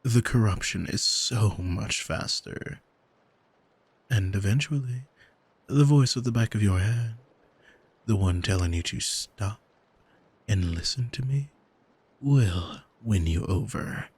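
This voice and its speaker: An arrogant demon, acted by a man, speaks low and very breathy, in an unhurried ASMR roleplay. He is smug and seductive, commanding with a soothing, hypnotic calm. The sound is close and dry. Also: dry recording, exaggerated voice. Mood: smug, taunting. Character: arrogant male demon, soul-owning manipulator, calm and seductive.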